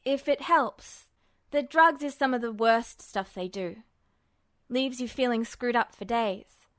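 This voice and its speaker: A woman voicing a proud, gruff, easily embarrassed young man in an audiobook story, blurting in brusque slang. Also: on the high side, unhurried, natural voice. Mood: defensive, blurting.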